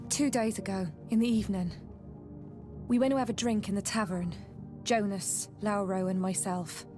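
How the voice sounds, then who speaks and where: A woman's voice: medium pitch, fairly even intonation, normal speed, close to an everyday voice. An actress playing a plain-spoken, loyal female sailor in a fantasy game, frank and colloquial. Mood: frank, mildly concerned. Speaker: voice actor in a video game. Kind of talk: scripted fantasy game dialogue. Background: music.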